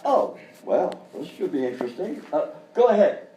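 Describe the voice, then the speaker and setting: An actor playing a flustered, falsely cheery children's TV host who stammers and hems, in a live radio-style comedy sketch. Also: male voice, fairly high pitch, very animated, moderate pace, mellow. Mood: cheery patter. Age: middle-aged or older. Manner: stammering, hemming and hawing, exaggerated. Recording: roomy live stage, distant mic, faint noise.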